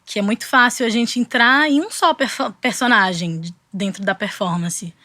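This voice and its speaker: A woman's voice: fairly high pitch, normal speed, bright, forceful tone, no room sound. Young Brazilian woman, dry close sound. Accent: Bahian Portuguese. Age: young adult. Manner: reflective, with frequent restarts and fillers. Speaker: guest artist. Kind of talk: casual interview chat.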